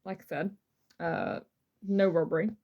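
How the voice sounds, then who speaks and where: A woman's voice: fairly low pitch, sing-song, a little slow, gravelly, narrow, tinny audio. A woman co-hosting a true crime podcast, chatting casually and informally as she tells the story, leaning on repeated words for emphasis. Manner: informal, emphatic repetition for effect.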